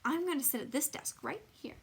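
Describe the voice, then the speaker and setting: A woman's voice: high voice, brisk, gravelly, voice pushed up. A woman voicing a prim, smugly dismissive first-grade girl in a children's storybook, with precious, self-satisfied phrasing.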